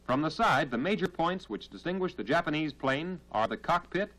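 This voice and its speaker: An American man narrates a military training film from the 1940s. His voice sits fairly high and moves through animated rises and falls. He delivers formal, measured instruction, heard on a vintage film soundtrack. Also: poor audio.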